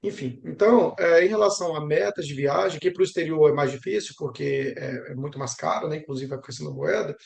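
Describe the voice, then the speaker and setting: A fairly high-pitched male voice with audible fry and a Brazilian accent. He talks in a reflective, conversational interview style, adding small tag questions as he goes. The room echoes noticeably around him. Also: a little fast, hardly any pauses. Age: young adult. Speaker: geography professor interviewed about travel. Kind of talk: conversational interview answers, storytelling. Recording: roomy, echoing sound.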